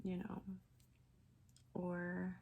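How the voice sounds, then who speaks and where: Young female beauty vlogger, raspy and a bit stuffy, low-ish gravelly voice, rambling casual delivery. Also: completely flat delivery, extremely slow, phone-quality sound. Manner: chatty, rambling with many fillers. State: raspy from a sinus infection. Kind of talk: casual makeup tutorial.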